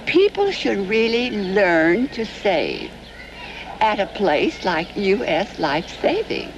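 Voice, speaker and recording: A woman's voice: mid-range voice, lo-fi sound, exaggerated voice. A woman in her sixties playing a rueful, plain-spoken retired lady in a testimonial TV commercial. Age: 60s. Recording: noisy 1970s off-air TV tape.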